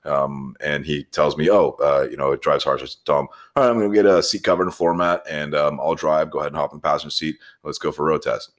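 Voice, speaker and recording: A man's voice: extremely low pitch, fast talker, few pauses. A man chatting casually as a podcast host, telling rambling anecdotes and acting out both sides of conversations. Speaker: podcast host, shop foreman and transmission technician. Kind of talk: casual chat, storytelling.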